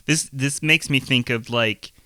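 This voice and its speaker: A man co-hosting a podcast in casual chat, earnest and reflective, with a voice turning raspy from being sick. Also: medium pitch, a little slow, pushed and bright, dry recording. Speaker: podcast co-host.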